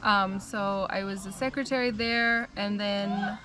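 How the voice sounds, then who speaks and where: A young American woman telling her life story in a relaxed, chatty way, with frequent "um" and "like". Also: mid-range voice, a little slow, over music. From United States. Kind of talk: casual storytelling.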